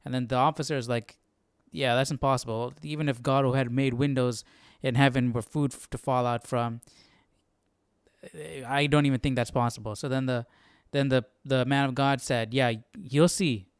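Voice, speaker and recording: Man, smooth clear voice, close dry sound, informal Bible study host, reads then paraphrases offhand. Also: mid-range voice, fairly even intonation, normal speed, no room sound. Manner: informal, thinking out loud, paraphrasing in slang. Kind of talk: casual read-aloud and commentary.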